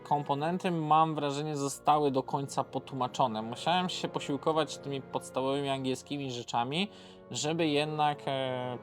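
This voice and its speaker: A man with a Polish accent giving a casual, unscripted board game review, hesitant and rambling as he corrects himself. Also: mid-range voice.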